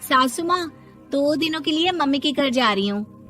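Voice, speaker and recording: A woman's voice: high-pitched, expressive, normal speed, fairly bright tone, soft background music, voice pushed up. A woman voicing a pious, cheeky young daughter-in-law in a moral story, self-righteous and nagging. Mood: bright, questioning.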